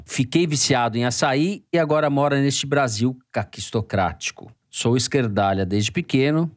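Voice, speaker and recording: A man's voice: medium pitch, no room sound, studio quality. A man hosting a panel podcast with a Brazilian Portuguese accent, reading listener mail and teasing his co-hosts. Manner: joking, teasing banter. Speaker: podcast host.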